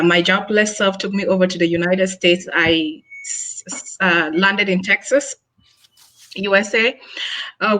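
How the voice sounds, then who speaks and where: A woman's voice: fairly low pitch, fairly bright tone, rough recording. A woman from Cameroon, a nurse practitioner, introducing herself on a panel earnestly, with many fillers.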